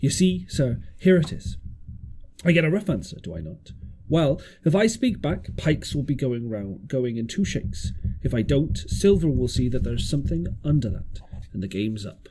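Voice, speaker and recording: A man's voice: medium pitch, very animated, normal speed, warm tone, breathy edge. A man voicing a grave, resolute sea captain in a storybook, firm and plain-spoken. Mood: grave, blunt. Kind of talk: storybook character reading.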